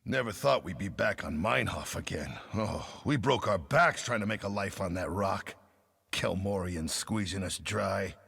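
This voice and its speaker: Gravelly, weathered ex-rebel miner. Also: male voice, low-pitched, measured pace, a bit bright, heavily acted. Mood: bitter, reflective. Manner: rough, plainspoken. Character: bitter, weathered working-class male miner and ex-rebel. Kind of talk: video game cutscene acting.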